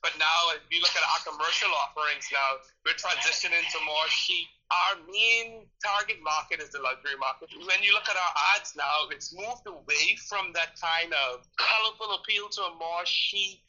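A man's voice: a little high, moderate pace, hardly any pauses, sharp tone, highs cut off. A man from the Bahamas with a Bahamian accent, answering interview questions casually and expressively, often repeating phrases for emphasis. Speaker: interviewee, tourism ministry worker.

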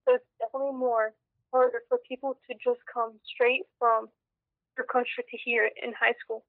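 A woman's voice: fairly high pitch, little pitch movement, moderate pace, no room sound. Chatty young woman on a tinny line. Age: young adult. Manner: chatty, lots of "like". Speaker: interviewee, former student. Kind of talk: casual interview answers.

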